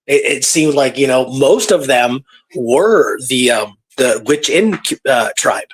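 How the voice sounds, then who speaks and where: Male radio co-host, a touch raspy, average pitch, tentative and reflective conversational delivery. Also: lively intonation, takes it slowly. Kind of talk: casual discussion of a historical story.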